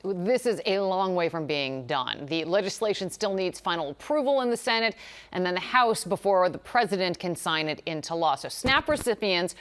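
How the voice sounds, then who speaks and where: Low-pitched American woman anchoring the news. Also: animated, moderate pace. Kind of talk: scripted news narration.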